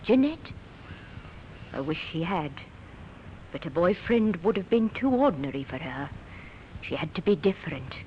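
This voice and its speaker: A middle-aged mother full of bitterness and grief in a vintage radio play. Her voice sits slightly low, heard through a dull recording with faint noise. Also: sing-song, normal speed, long pauses. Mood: bitter. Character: bitter, grieving mother of a murdered daughter. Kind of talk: old-time radio drama acting. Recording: muffled, noisy old radio broadcast.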